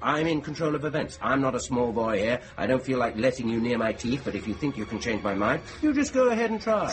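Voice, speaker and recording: An actor in a radio play as a smooth, manipulative male dentist, coaxing with deliberate, theatrical persuasion. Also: medium pitch, sing-song, slight background noise, close to an everyday voice. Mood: reassuring, insistent.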